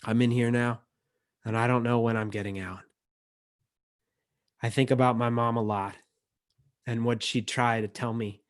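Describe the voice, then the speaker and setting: A man with a mid-pitched voice hosts a literary evening over a video call. He moves between casual remarks to the group and reading poetry aloud. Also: flat delivery, moderate pace, long pauses. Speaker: emcee and book editor. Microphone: video call microphone.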